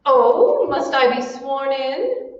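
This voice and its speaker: A woman's voice: high-pitched, sing-song, slow, slight background noise, exaggerated voice. A woman playing an affected, haughty society matron of about fifty in 1940s-style radio comedy, prim and pompous. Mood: affected, playful. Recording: echoey hall, distant mic, dull sound.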